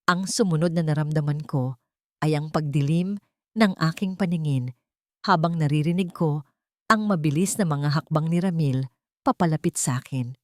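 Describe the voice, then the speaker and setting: Low-voiced woman narrating a dramatic story. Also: normal speed, dry recording, clean studio sound. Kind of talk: dramatic first-person scripted narration of a romance story.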